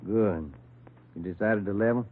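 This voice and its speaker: An actor playing a folksy, plain-spoken American police detective in a 1940s radio drama on a muffled recording. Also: male voice, fairly low pitch, normal speed, lightly acted. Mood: businesslike. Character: plain-spoken family-man police detective, mildly grumbling.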